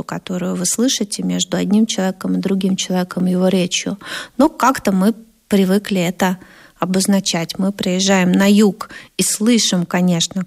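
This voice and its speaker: A woman from Moscow with a Moscow Russian accent, giving a conversational radio lecture as a linguist, lively and acting out the sounds she explains. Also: medium pitch, creaky. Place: radio studio.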